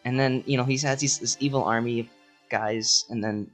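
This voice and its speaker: A man playing a flustered, impatient, foul-mouthed young sorceress in an improvised comedy fandub, rambling and halting. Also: medium pitch, steady tone, a bit bright, faint music behind, natural voice.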